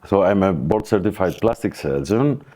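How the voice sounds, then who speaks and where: A man with a very deep, dark voice and a Greek accent. He speaks as an expert guest on a podcast. His explanations come in hesitant, broken phrases. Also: animated, normal speed. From Greece. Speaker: plastic surgeon, guest expert. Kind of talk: podcast interview, explanatory talk.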